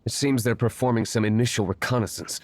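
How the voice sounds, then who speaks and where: A man's voice: medium pitch, steady tone, fast talker, a little mellow, slight background noise, natural voice. An actor voicing a brooding, aloof young nobleman vigilante in a game, formal, curt and dryly serious. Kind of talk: game character dialogue.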